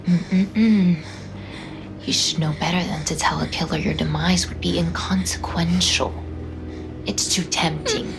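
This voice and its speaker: A woman playing a seductive, menacing vampire in an audio drama, taunting her prey in a breathy, theatrical way. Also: fairly low pitch, steady tone, a little slow, music in the background. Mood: playful menace.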